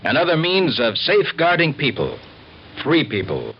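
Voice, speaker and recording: A man's voice: medium pitch, wildly swooping pitch, moderate pace, a little hiss or hum. A man announcing from a script on a muffled vintage radio program, with music behind him. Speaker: actor and announcer.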